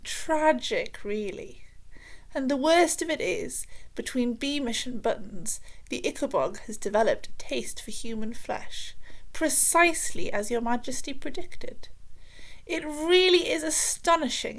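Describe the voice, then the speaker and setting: Woman playing an oily, high-pitched scheming male royal advisor. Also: unhurried, low-quality recording. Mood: feigned sorrow, flattering. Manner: oily, flattering, pompous. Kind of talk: children's storybook character voice.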